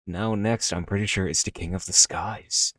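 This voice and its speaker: A man's voice: deep voice, steady tone, normal speed, a bit bright, no echo, studio quality. A man giving casual, jittery, profane reaction commentary with dramatic responses. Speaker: reaction video host.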